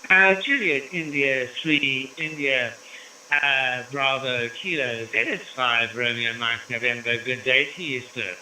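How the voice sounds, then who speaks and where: Ham radio operator, man from South Africa, slow careful call signs, bright tone, distant echoey pickup. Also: mid-range voice, slight background noise. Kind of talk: amateur radio contacts.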